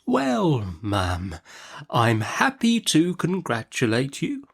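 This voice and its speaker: A man voicing a meek, nervous gentleman doctor from a storybook, soft, hesitant and deferential. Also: mid-range voice, huge pitch swings, takes it slowly, gravelly, breathy edge, exaggerated voice. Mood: meek, soothing.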